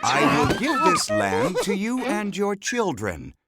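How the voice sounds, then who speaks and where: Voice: medium pitch, huge pitch swings, unhurried, voice pushed up. A narrator voicing God as a solemn, commanding creator in a storybook tale. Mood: reassuring. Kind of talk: storybook character voice.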